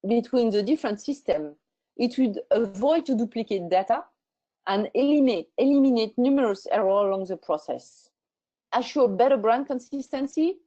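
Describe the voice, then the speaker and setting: A woman walks through a prepared webinar talk at a measured pace, with somewhat non-native phrasing. Her voice sits a little high. The webinar sound is dull and lo-fi. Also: mellow. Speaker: webinar host. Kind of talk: scripted presentation. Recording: muffled lo-fi webinar audio.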